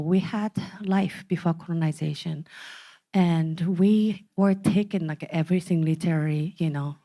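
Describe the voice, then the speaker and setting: A woman in her forties from Okinawa with a Japanese accent, giving a talk to a community gathering, halting and full of fillers. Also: on the low side, warm tone. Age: 40s. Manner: halting, many fillers and restarts. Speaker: speaker.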